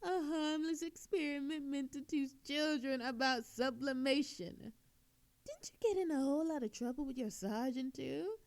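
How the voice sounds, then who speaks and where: A woman performs a cocky female thief in an audio drama, with a fairly high feminine voice. She is coy and theatrical, forever flirting and needling the detective who questions her. The delivery is playful and mocking, full of smug confidence. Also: moderate pace, a little mellow. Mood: gloating, teasing. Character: smug, flirtatious, teasing woman thief under police interrogation. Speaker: voice actor in audio roleplay. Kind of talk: flirtatious audio roleplay monologue.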